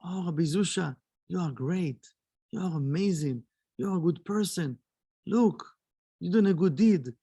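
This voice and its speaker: A man's voice: high voice, moderate pace, pauses often, dark tone, breathy edge, dry recording. A man voicing a humble, devout old rabbi telling what happened to him, in an earnest storytelling way.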